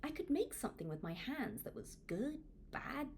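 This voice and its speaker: A woman from Hong Kong reading a book aloud in an expressive, informal, self-deprecating way, like a read-along. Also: sits high, normal speed, slight background noise. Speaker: author reading their own book aloud. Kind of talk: audiobook read-along with casual asides.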